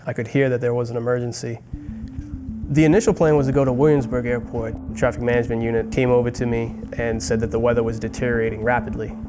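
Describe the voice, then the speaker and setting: Flat-toned air traffic controller man. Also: mid-range voice, over music. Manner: measured, explanatory. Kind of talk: interview recounting an event.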